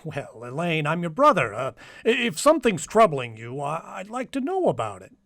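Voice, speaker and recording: Worried skeptical brother in old radio drama, stammers, really up and down, dry sound. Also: male voice, on the high side, huge pitch swings, moderate pace, gravelly, fairly bright tone, dry recording, full character voice. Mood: concerned. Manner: stammering, hesitant. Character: doubtful, concerned brother, gentle and hesitant.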